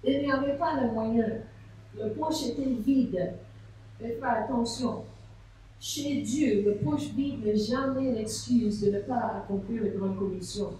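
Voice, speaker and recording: A woman's voice: a little high, takes it slowly, dark tone, a little hiss or hum. A woman pastor, preaching a sermon and telling a story with rhetorical questions. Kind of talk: sermon at a seminar. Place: large echoey church hall. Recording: echoey hall from far off.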